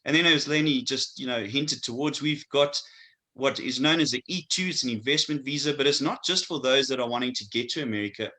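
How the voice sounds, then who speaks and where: Upbeat man, bright voice. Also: medium pitch, fairly quick. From South Africa. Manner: upbeat, salesy. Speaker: co-host of an immigration webinar. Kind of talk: scripted-sounding promotional intro.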